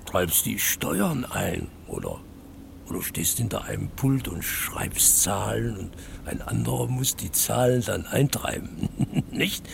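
An actor playing an old drunken beggar who wheedles and needles a stranger in a radio play. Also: male voice, fairly low pitch, big pitch swings, takes it slowly, creaky, slight background noise, full character voice. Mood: wheedling. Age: older. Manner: wheedling, sly, insistent.

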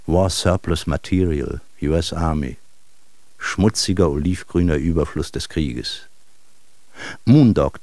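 A man's voice: sits low, a little mellow. An actor playing a world-weary, brooding traveling salesman who narrates a literary radio play, addressing the listener directly in a rhetorical, declamatory way.

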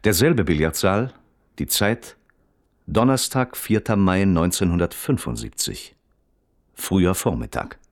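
Extremely deep male radio play narrator. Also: lively intonation, a little slow, dry recording, studio quality. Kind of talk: scripted narration, documentary-like report. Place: dry studio.

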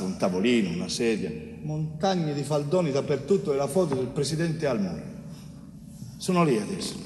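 Actor doing worn-out bricklayer guy pleading his case onstage, voice swinging high and low, distant roomy recording. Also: darkish tone, a little hiss or hum, close to an everyday voice. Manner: emphatic, halting, addresses a judge directly. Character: wrongly accused Sicilian bricklayer, fifty-one, weary, anguished, pleading. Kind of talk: theatrical monologue.

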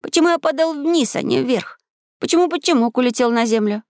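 A woman voicing an earnest, curious and bold seven-year-old boy in a storybook, asking clear, insistent questions. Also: high-pitched, expressive, a little fast, darkish tone, dry recording, clean studio sound, voice pushed up. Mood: bold questioning.

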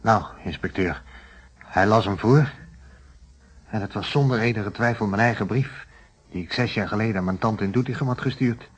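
An actor with a Netherlands Dutch accent playing a troubled, defensively candid shopkeeper in a radio play, plain-spoken and explanatory. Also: male voice, on the low side, moderate pace, breathy edge. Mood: confessing, explanatory.

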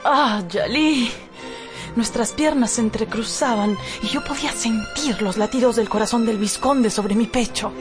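An actress playing a dreamy, discontented young wife, genteel and theatrical, in a radio drama. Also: female voice, on the high side, a little slow, over music, close to an everyday voice. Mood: enraptured.

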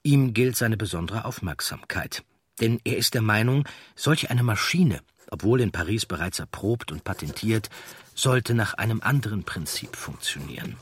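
A man's voice: on the low side, expressive, normal speed, breathy edge, no echo. A man reading a scripted documentary narration as an audiobook narrator.

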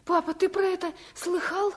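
A woman's voice: very high-pitched, level tone, moderate pace. An actress playing an affectionate, curious little girl in a radio play, earnest and childlike. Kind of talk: Soviet radio play acting.

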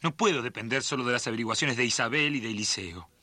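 An actor playing an anxious, self-doubting son in a radio drama, earnest and brooding, with an Argentine accent. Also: male voice, mid-range voice, quick pace, bright, forceful tone, dry recording. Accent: Argentine, Rioplatense. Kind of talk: radio drama monologue and dialogue.

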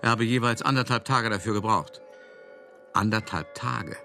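An actor playing a shrewd, self-satisfied older art dealer who tells his past in a reflective, conversational radio drama monologue with deliberate pauses. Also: on the low side, fairly even intonation, pushed and bright, faint music behind. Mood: reminiscing.

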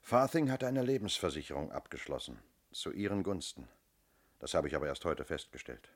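An actor playing a shrewd, self-assured police inspector in a radio crime drama, speaking firmly and methodically. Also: male voice, extremely low pitch, animated, a little fast, breathy edge, exaggerated voice. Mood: certain, explanatory. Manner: methodical, firm, explanatory.

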